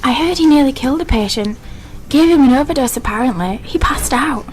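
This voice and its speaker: A woman's voice: high voice, expressive, a little fast, rough recording. A woman playing an indignant, gossipy hospital patient in a radio drama, complaining and disapproving.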